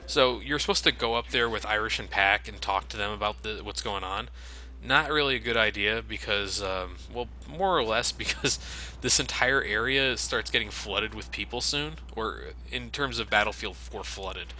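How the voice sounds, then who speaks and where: A man's voice: on the low side, moderate pace, pushed and bright, slight background noise, rough recording. A man giving casual, sardonic video game commentary with some swearing.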